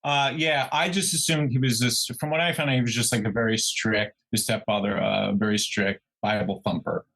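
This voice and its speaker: A man co-hosting a podcast in casual true crime discussion, tentative and halting as he searches for words. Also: mid-range voice, fairly even intonation, vocal fry, fairly bright tone. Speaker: podcast co-host.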